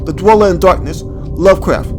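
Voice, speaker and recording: Male let's-play host: high-pitched, informal, off-the-cuff commentary and read-alouds, light music underneath. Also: normal speed. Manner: informal, stumbles over unfamiliar words. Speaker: gaming video host. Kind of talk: casual game playthrough commentary, reading on-screen text aloud.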